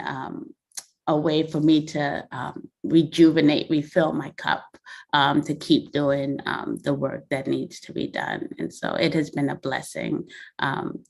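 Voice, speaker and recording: A woman who is an executive director, sharing a measured, reflective account with a group, with frequent ums. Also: sits low, vocal fry. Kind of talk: group reflection on a video call.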